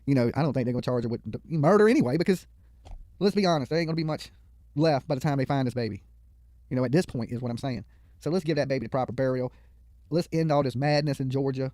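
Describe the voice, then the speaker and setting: Man, casual livestream host, rapid talker, opinionated, colloquial, swears freely, dry sound. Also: medium pitch, a little mellow, no room sound. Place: studio.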